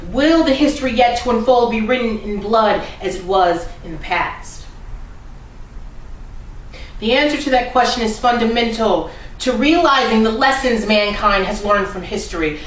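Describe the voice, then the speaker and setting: A woman performing a dramatic monologue as a defiant, oppressed defendant, speaking deliberately and solemnly with weighted pauses. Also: fairly high pitch. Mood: grave conviction. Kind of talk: dramatic courtroom monologue reading. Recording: roomy, distant mic with faint noise.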